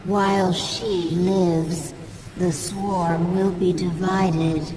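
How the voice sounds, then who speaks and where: Dutiful alien creature for a sci-fi game: formal, subservient, unhurried, set back from the mic. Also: female voice, fairly low pitch, steady tone, crowd noise. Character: obedient female alien swarm servant, calm and dutiful. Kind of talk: dramatic video game acting. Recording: distant voice under loud music.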